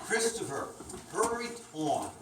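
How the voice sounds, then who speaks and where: Woman reading a kids story, slow and dramatic, echoey and noisy, mic far away. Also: mid-range voice, sing-song. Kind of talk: scripted children's story narration. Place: indoor room, picked up from a distance. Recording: distant echoey room, clear noise.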